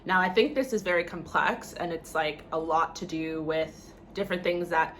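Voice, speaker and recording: A woman's voice: lowish voice, normal speed, fairly bright tone, slight background noise. A young woman with a Canadian accent talking casually to camera in a conversational, opinionated way, often saying "like" and "you know". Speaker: vlogger.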